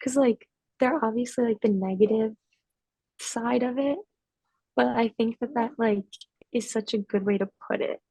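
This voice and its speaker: A woman's voice: a little high, moderate pace, long pauses, warm tone, husky, no room sound, rough recording. An eighteen-year-old woman talking casually in a student podcast discussion, with lots of "like" fillers. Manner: chatty, many "like" fillers.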